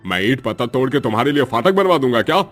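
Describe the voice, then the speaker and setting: A gruff police inspector acted by a man in a cartoon tale. He is brusque, irritated and uncaring, with a medium-pitched voice. Music sits behind him. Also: sing-song, quick pace, fairly bright tone. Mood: irritated, threatening.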